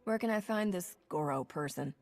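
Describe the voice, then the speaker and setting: An actress playing a confident, wry smuggler captain in a video game cutscene, with music underneath. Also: female voice, lowish voice, expressive, normal speed, no room sound, natural voice. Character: bold young woman smuggler captain. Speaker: starship captain.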